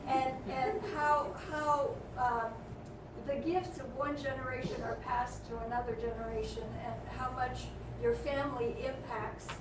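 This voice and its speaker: A woman in the audience making an effusive, halting comment to the author. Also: sits high, fairly even intonation. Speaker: audience member. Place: bookstore event audience. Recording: distant, echoey audience mic with room noise.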